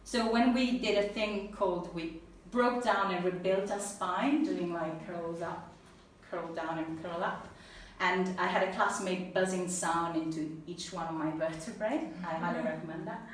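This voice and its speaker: Italian woman giving a talk, earnest and lively, echoey room, mic kinda far off. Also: lowish voice, a little slow, hardly any pauses. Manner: earnest, animated, laughs easily. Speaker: speaker at a talk. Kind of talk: personal talk then audience Q&A. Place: event room with an audience. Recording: echoey room, mic at a distance, faint noise.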